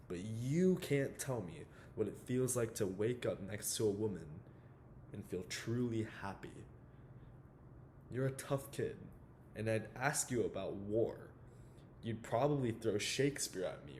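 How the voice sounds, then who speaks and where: A wounded older mentor played by a man delivers a dramatic monologue in a lowish voice. He is blunt and deliberate, leaving heavy pauses between pointed challenges, over a noisy, rumbling background. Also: darkish tone. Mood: measured, challenging. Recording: noticeable background noise with low rumble.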